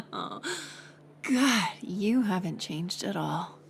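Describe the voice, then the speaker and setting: A young woman playing a mocking college bully in an audio roleplay, sarcastic and teasing, with a hidden softer side. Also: mid-range voice, a little hiss or hum, exaggerated voice. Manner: sarcastic, teasing, scoffing with sighs and breathy asides. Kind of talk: audio roleplay acting, intimate one-sided dialogue.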